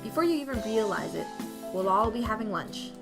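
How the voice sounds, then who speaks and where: Woman reading a gentle, teasing young boy's father. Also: medium pitch, expressive, a little fast, vocal fry, over music. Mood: gentle, instructive. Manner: gentle, instructive, lightly teasing. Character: kindly, teasing father guiding his young son.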